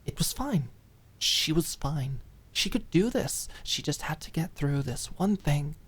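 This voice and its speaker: A woman reading dramatic scripted fiction as an audiobook narrator, with expressive, emotive storytelling. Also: deep voice, measured pace, husky.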